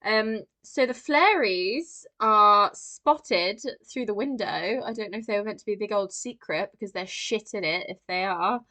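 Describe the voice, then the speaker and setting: A woman's voice: mid-range voice, normal speed, few pauses, sharp tone. A woman co-hosting a podcast, chatting casually and wryly about film. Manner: chatty, wry, swears casually. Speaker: podcast co-host. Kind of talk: casual film discussion chat.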